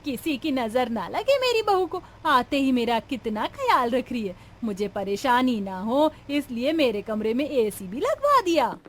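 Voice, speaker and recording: A woman's voice: high-pitched, big pitch swings, unhurried, hardly any pauses, full character voice. A woman playing an elderly, partial, grumbling mother-in-law in a cartoon family drama, with a melodramatic, exclaiming manner. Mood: fawning, pleased.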